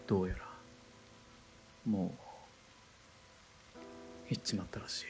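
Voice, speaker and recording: A man playing a blunt, cynical hired killer in a 1920s Japanese radio drama, talking in rough, casual masculine speech. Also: deep voice, level tone, takes it slowly, soft background music. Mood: gruff, exasperated.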